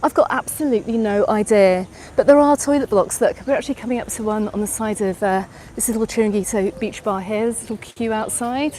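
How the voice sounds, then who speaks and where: A woman's voice: medium pitch, fairly quick, few pauses, darkish tone. A middle-aged English woman with an English accent, giving a live walking tour and answering viewers' questions as she goes. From England. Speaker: travel vlogger. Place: outdoors on a busy beach promenade at dusk. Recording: outdoor live stream with crowd noise. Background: crowd chatter and passing traffic.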